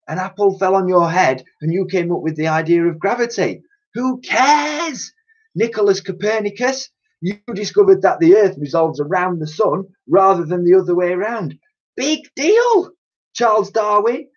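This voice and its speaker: A man playing a pompous old scientist with a husky voice. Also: sits high, muffled recording, voice pushed up. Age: older. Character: pompous, self-pitying old male scientist.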